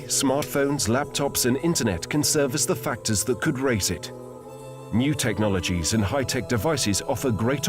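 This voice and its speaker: A man narrating a documentary script in a steady, explanatory manner. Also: medium pitch. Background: music.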